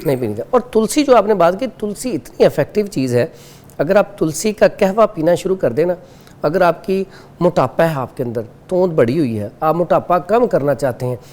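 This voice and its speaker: A man with a Pakistani Urdu accent, a guest expert on a TV morning show, calmly explaining home remedies step by step. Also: fairly high pitch, moderate pace. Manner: calm, instructive, lists remedies step by step.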